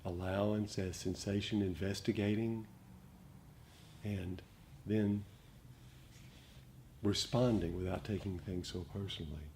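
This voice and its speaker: A man giving a dharma talk as a meditation teacher, speaking reflectively and gently, with frequent pauses. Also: lowish voice, steady tone. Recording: noticeable background noise.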